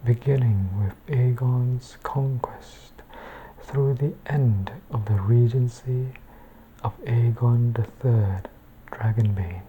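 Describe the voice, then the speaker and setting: A woman reading a bedtime book in ASMR style, hushed and measured, with a soft, breathy voice that often slips into a whisper. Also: rumbling low, phone-quality sound, slight background noise.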